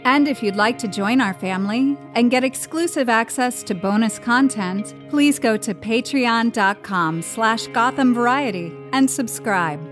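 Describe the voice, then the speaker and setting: A woman with a fairly high voice works as an announcer. She reads a scripted promotional message addressed to listeners. Faint music plays behind her. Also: measured pace, hardly any pauses.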